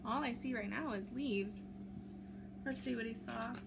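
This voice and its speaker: A woman reading a children's storybook aloud in an expressive, teacherly way, with simple chatty asides. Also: fairly high pitch, a little slow. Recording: clear background noise.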